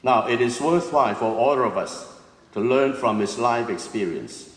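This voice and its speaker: A man giving a measured, formal address to students at an opening ceremony. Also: medium pitch, lively intonation. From Hong Kong. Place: large auditorium. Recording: echoey hall, long reverb.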